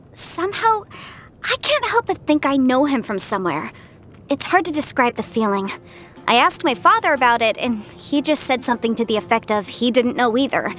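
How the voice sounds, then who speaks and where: Video game casting: bright, bookish young legal adviser, high-pitched, very expressive swings, confident and chatty. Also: female voice, slight background noise. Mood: puzzled, curious. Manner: articulate, expressive, self-assured, slightly bookish. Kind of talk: scripted game character voice lines.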